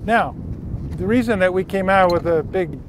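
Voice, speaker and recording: Male sailing instructor, high-pitched, didactic delivery, recorded outdoors with clear wind and boat noise. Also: moderate pace. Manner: didactic, explanatory. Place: outdoors on a sailboat under way.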